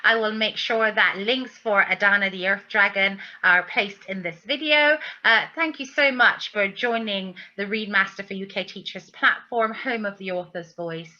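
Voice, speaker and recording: A woman hosting an author interview, speaking in formal, measured, appreciative phrases. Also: mid-range voice, pushed and bright, muffled recording.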